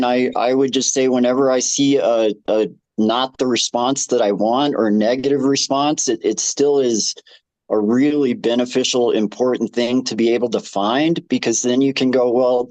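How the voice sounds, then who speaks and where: A man giving informal advice in an online discussion, measured and rephrasing as he goes. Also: mid-range voice, darkish tone, no room sound, poor audio. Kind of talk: informal advisory talk.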